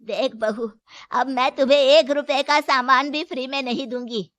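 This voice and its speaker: A woman playing a shrewd, firm older mother-in-law who runs a shop, in a Hindi moral story, with a deliberate manner. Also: high-pitched, fairly even intonation, a bit bright, no echo. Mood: stern scolding.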